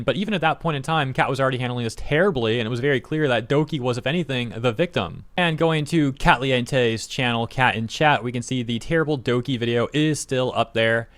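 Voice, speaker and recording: A young man talks through online drama for a reaction channel in a blunt, slightly sarcastic tone. His voice sits at an ordinary middle pitch, and the sound is dry and close with little room around it. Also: a bit bright, no room sound. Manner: blunt, exasperated, mildly sarcastic. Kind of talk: casual commentary, reading comments aloud.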